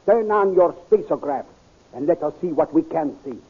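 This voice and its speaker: An actor playing a grave, decisive military commander with a clipped, authoritative manner in a 1930s radio serial. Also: male voice, fairly high pitch, lively intonation, normal speed, noisy background. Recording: tinny, band-limited old radio recording.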